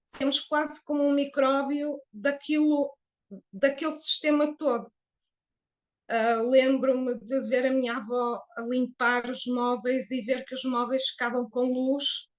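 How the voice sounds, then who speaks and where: A woman in her late fifties from Portugal with a Portuguese accent, calmly telling her life story as an interview guest with frequent hesitations. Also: high-pitched, lo-fi sound. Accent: Portuguese (European Portuguese). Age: 50s. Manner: reflective, unhurried, with hesitations. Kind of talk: personal testimony in an interview.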